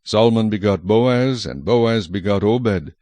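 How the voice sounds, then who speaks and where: A man narrating scripture from a script in a measured, solemn manner. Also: fairly low pitch, mellow, no echo.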